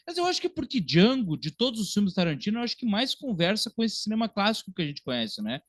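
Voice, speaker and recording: A man, co-hosting a casual film discussion in an animated, chatty way. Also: sits high, fast talker, no echo, clean studio sound. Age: early 30s. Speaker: podcast co-host and film critic.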